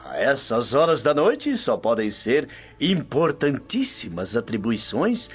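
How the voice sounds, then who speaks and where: A man's voice: medium pitch, huge pitch swings, unhurried, breathy edge, soft background music, exaggerated voice. An actor with a Brazilian accent playing a jovial, teasing, wealthy Roman womanizer in a radio drama. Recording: dull, muffled studio track.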